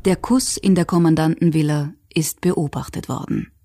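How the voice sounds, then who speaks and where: A woman narrating a scripted radio documentary in a serious, measured manner. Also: deep voice, dark tone, slight background noise.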